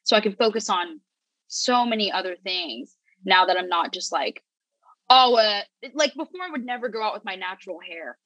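A young woman chatting as a podcast guest, candid and animated, full of "like" and restarts. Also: medium pitch, moderate pace, dry recording, rough recording. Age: young adult. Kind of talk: casual podcast chat.

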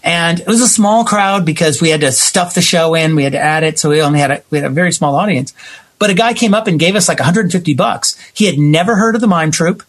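A man with a husky voice talking conversationally as a theater maker being interviewed, emphatic and given to acting out what people said. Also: a little high, fast talker, fairly bright tone. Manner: emphatic, acts out quoted reactions. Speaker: theater playwright and director, interview guest. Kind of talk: animated conversational storytelling.

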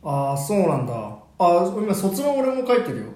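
A man's voice: sits high, big pitch swings, moderate pace, darkish tone. A young man co-hosting a podcast with casual banter and improvised skits in an echoey room. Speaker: podcast host and member of a comedy talk group. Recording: roomy, echoey indoor room.

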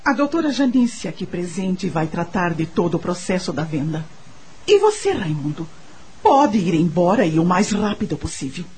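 Radio drama casting: the dignified, bitter lady of a mansion, Brazilian accent, very husky, formal and commanding. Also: lowish voice, big pitch swings, moderate pace, darkish tone, full character voice. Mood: firm, cold. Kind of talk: Portuguese-language radio drama acting.

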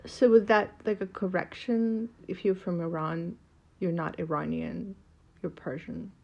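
A woman's voice: lowish voice, fairly even intonation, a little slow, gravelly, a little mellow. Curious woman interviewer, dull sound. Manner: curious, probing questions. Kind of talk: casual conversation.

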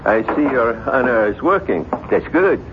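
An actor playing a simple, deferential household servant in a radio drama, folksy, hesitant and puzzled. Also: male voice, mid-range voice, expressive, normal speed, lightly acted. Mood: cheerful, deferential. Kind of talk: 1970s radio drama acting. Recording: tinny, very noisy 1970s radio recording.

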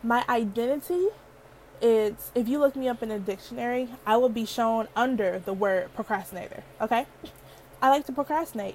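A woman's voice: fairly high pitch, darkish tone, a little hiss or hum, rough recording. Chatty girlish-voiced young woman. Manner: chatty, playful, laughs often. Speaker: podcast host. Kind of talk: casual solo podcast chat.

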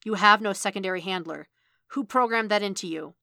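A woman voicing a harsh, commanding older male handler from a story, gruff and contemptuous, with gravelly, clipped orders. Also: medium pitch, fairly quick, bright, forceful tone, no room sound, studio quality. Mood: furious, incredulous. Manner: barking, clipped orders and scornful swearing.

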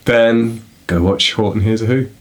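A young man with a low voice co-hosts a relaxed book podcast. He rambles cheerfully, tosses out puns and laughs at himself. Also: moderate pace. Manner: playful, punning, self-deprecating. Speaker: podcast co-host. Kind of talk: casual book podcast chat.

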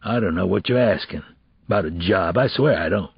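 A narrator, a man, plays a weary male ex-con in a crime audiobook. He is a troubled father, earnest and plainspoken, full of street slang. The voice is husky and the sound dry and a little dull. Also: low-pitched, brisk, dry recording, heavily acted. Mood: confused, pleading. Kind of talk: audiobook character dialogue.

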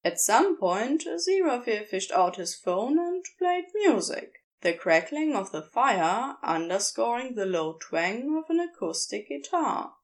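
A woman narrates a fiction story in a fairly high voice. Her reading is slow and measured, with expressive, swooping intonation that suits scripted storytelling. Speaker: narrator and reader.

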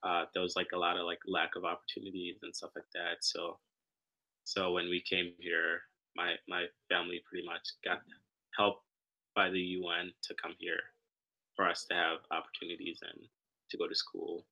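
Deep flat-voiced young man, easygoing. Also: lo-fi sound. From Sudan, raised in Kenya. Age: young adult. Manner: relaxed, halting, many fillers. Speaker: podcast guest. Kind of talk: casual interview conversation.

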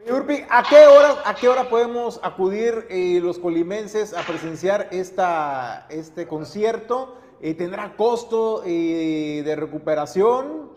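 A man's voice: high-pitched, expressive, a little slow, few pauses, darkish tone, big-room sound, a little hiss or hum. A man hosting a news program, interviewing a guest in a friendly, chatty way. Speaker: news show host.

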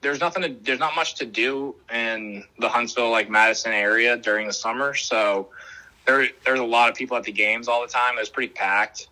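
Bright-voiced young man chatting. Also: medium pitch, fairly quick, poor audio. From Boston area. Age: young adult. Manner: relaxed, rambling, many fillers and restarts. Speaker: minor league baseball player, guest. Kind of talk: casual interview answers. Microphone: video call.

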